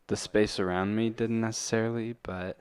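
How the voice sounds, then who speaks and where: A man reflecting on personal matters in a halting, thoughtful way. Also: fairly low pitch, steady tone, a little mellow, smooth.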